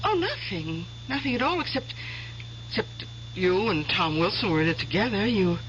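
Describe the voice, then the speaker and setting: An actress in a 1970s radio drama playing a curious, chatty young pregnant wife. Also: female voice, mid-range voice, sing-song, normal speed, a bit bright. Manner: eager, chatty, inquisitive. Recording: muffled old radio recording with hiss.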